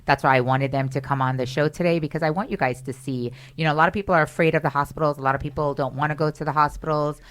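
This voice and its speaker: A woman's voice: sits low, steady tone, very fast, few pauses. A woman hosting a radio talk show, chatty and effusive as she welcomes guests. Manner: chatty and effusive, lots of "you know". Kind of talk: casual radio interview. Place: radio studio.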